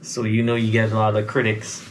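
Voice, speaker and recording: A man's voice: lowish voice, monotone, fairly quick, a little hiss or hum, rough recording. A man from Trinidad with a faded Trinidadian accent, answering interview questions in a hesitant way and repeating words as he starts. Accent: faint Trinidadian, mostly lost. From Trinidad and Tobago. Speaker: recording artist guest. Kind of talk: casual interview.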